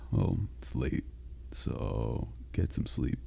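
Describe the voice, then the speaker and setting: A man voicing a caring male sleep paralysis demon, speaking softly, with halting reassurance, in a comforting roleplay monologue. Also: wildly swooping pitch, heavily acted. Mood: soothing. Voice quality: deep gravelly growl. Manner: soft, reassuring, halting and unhurried.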